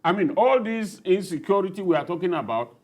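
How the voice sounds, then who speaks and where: A man's voice: high voice, sing-song, fairly quick, darkish tone. A middle-aged man with a Nigerian accent, talking emphatically and off the cuff in a panel discussion.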